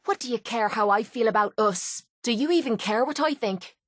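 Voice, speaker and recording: A woman's voice: on the high side, normal speed, a bit bright, no echo, clean studio sound. A woman playing a brash, foul-mouthed young female cage fighter in a video game, with an Irish accent and a blunt, cocky way of talking. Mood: hostile, fed up. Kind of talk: video game companion voice lines.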